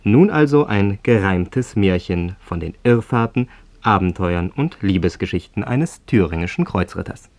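A man's voice: lowish voice, lively intonation, moderate pace, darkish tone. A man presenting a radio play in scripted, informative narration.

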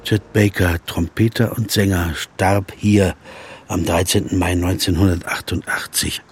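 An actor playing a jazz pianist who narrates in the first person, in a measured, inwardly questioning way. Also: male voice, low-pitched, steady tone, soft background music. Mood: musing. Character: male jazz pianist narrating, wry and curious. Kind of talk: audio drama narration.

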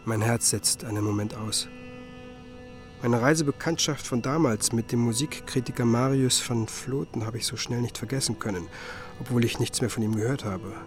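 An actor playing a pensive musicologist who tells his story in a literary radio drama, reflective and measured. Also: medium pitch, over music, lightly acted. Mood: stunned, pensive. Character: pensive male musicologist, wistful first-person storyteller.

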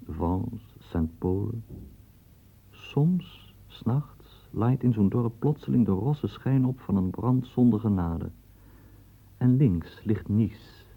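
A man's voice: low voice, slightly rough. A man narrating a radio play and guiding the listener through the scene like a storyteller. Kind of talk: scripted radio drama narration. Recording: tinny lo-fi old radio recording.

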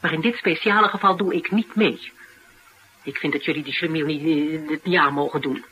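A woman's voice: sits low, a little fast, a bit bright. An actress playing a tough, blunt, determined woman interviewer in a Dutch radio drama. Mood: defiant, scornful. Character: tough, sharp-tongued, determined woman interviewer sheltering a fugitive. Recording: noisy old radio drama recording.

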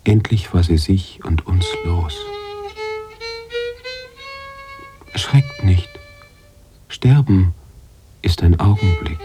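An actor playing an anguished, philosophical writer-narrator in a literary radio drama, halting and searching, slipping at times toward a whisper. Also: male voice, low voice, full character voice. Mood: grieving, resigned. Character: brooding male writer-narrator, anguished, philosophical, mourning his heroine.

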